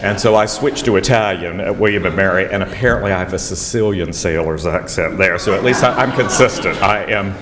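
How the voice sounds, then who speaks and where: A man's voice: low voice, brisk, few pauses, crowd noise. An older man with a Southern US accent introducing a speaker at a lecture, formal but full of wry, self-deprecating asides. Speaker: event host introducing the speaker. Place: lecture hall. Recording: lecture hall reverb, faint noise.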